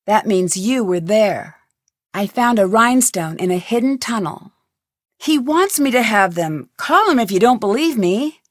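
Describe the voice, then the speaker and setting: A woman's voice: mid-range voice, animated, unhurried, dry recording, clean studio sound. An actress voicing a curious young woman detective, asking polite, inquisitive questions in recorded game lines.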